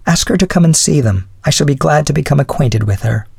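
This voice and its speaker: A man voicing a devoted, gentle wife in an audiobook, with an earnest, pleading manner. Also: on the high side, expressive, fairly quick, creaky, breathy edge, no room sound, studio quality, voice pushed up, full character voice. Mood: composed, hurt. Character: devoted, gentle young wife hiding behind disguise. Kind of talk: audiobook character voice.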